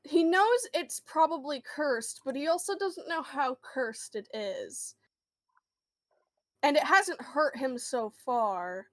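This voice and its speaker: A woman's voice: high voice, expressive, slow, no room sound. A woman playing a tabletop role-playing game, chatting casually in and out of character in a hesitant, rambling way.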